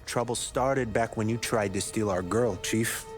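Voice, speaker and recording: An actor playing a cocky young gang punk in a period gangster game, taunting and menacing. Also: male voice, lowish voice, measured pace, over music, lightly acted. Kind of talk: 1930s gangster game acting.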